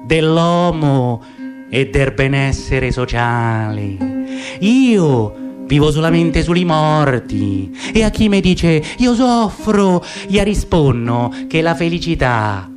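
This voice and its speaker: A man voicing a slow, mumbling, sanctimonious hyena with a Roman accent. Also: on the high side, very animated. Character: grumbling pious male hyena, preachy. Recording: guitar music behind.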